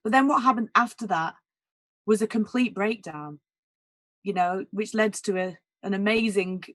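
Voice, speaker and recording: Woman, jazz singer, naturally husky voice, candid and chatty with fillers, dry close sound. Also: medium pitch, normal speed, a bit bright, no echo. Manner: candid and emotional, many "like" and "you know" fillers. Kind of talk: casual interview conversation.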